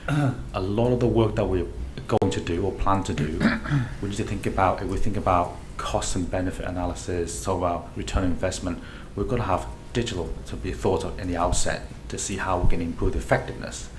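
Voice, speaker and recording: Brief: male board member, very low voice, earnest and emphatic, noisy recording. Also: brisk. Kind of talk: formal meeting contribution. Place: board meeting room. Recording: noisy meeting-room recording.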